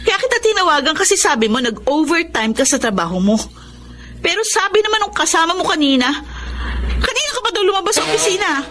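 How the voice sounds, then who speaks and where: Suspicious gf, very high pitched, swoopy and demanding, radio drama. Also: female voice, very animated, quick pace, fairly bright tone, a little hiss or hum, heavily acted. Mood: suspicious, agitated. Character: suspicious, demanding partner confronting a man by phone. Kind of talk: Tagalog radio drama acting. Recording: radio drama with background music.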